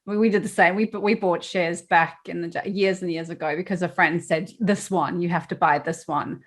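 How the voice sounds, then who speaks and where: A woman's voice: on the low side, steady tone, normal speed. A woman from South Africa with a South African accent, hosting a podcast in casual, chatty conversation with many asides. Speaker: podcast host.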